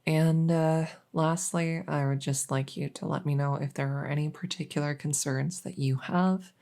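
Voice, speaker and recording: Low, creaky-voiced woman acting a polite vet. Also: fairly even intonation, moderate pace, dark tone. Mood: professional, reassuring. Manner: hesitant, polite, matter-of-fact. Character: soft-spoken, polite night vet receiving a supernatural patient. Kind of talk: ASMR audio roleplay.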